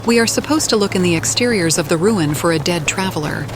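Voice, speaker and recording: A woman's voice: on the low side, animated, fast talker, background noise. A woman giving in-character role-play commentary over a video game, with game ambience behind her. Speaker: gaming video narrator playing in character.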